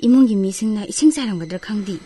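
A woman's voice: medium pitch, animated, racing, dark tone, breathy edge. A woman playing an affectionate, teasing young sweetheart in a radio play, with a playful, tender manner.

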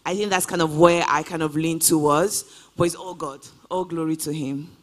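A woman's voice: low voice, steady tone, normal speed. Young woman chatting upbeat on stage. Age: 20s. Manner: upbeat, many "you know" fillers. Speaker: guest traveler. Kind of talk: casual interview answers on stage. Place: large church auditorium stage. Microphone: handheld mic.

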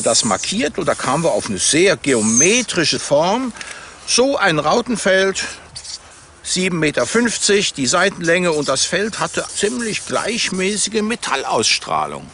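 A man's voice: fairly high pitch, a bit bright, slight background noise. A man being interviewed, giving an informal, anecdotal account in spontaneous speech.

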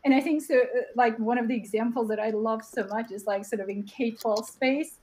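Young woman, high voice, earnest, keeps saying "right?", talking on a webcam call. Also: fairly quick, darkish tone, poor audio. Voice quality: high-pitched voice. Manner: earnest, thinks aloud, often adds "right?". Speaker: panelist, platform co-founder. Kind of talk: webinar panel answer. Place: home room. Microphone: webcam on video call.

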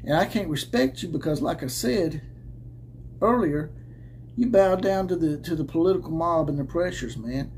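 A combative man in his thirties rants to camera, sarcastic and swearing, with mocking laughs. His voice sits a little high for a man. A faint hum of noise runs under the indoor desk-camera sound. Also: lively intonation, moderate pace, darkish tone. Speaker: host of a college football commentary channel. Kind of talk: opinionated rant to camera. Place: indoor room with caps hung on the wall. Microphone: camera at desk framing head and shoulders.

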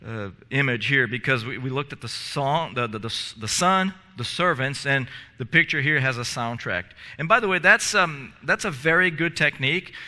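A man's voice: mid-range voice, pushed and bright, long reverb tail. A man in his thirties preaching a sermon in a church, earnest and gesturing, often restarting and repeating words. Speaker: pastor. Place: church sanctuary in front of stage steps. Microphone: headset mic.

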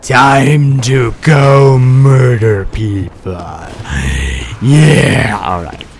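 A man's voice: medium pitch, drawn-out words, a little hiss or hum. A man giving casual game commentary, grumbling, blurting exclamations and mimicking game lines. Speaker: gamer making a gameplay video. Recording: game music and sound behind voice. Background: video game music and effects.

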